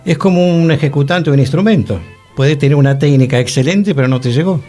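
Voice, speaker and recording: A man's voice: a little high, fast talker, mellow, soft background music. A man with a Rioplatense Argentine accent co-hosting a casual chat, agreeable and repeating his words.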